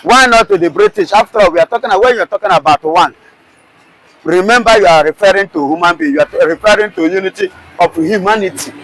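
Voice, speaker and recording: A man's voice: sits high, quick pace, fairly bright tone, no echo, low-quality recording. A man with a Nigerian accent, arguing passionately in a street interview with many rhetorical questions and restarts.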